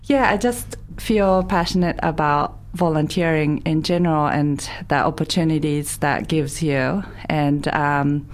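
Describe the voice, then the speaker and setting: A woman from Japan with a Japanese accent, answering interview questions in hesitant, halting phrases. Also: deep voice. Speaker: interview guest, volunteer organisation administrator. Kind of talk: conversational interview.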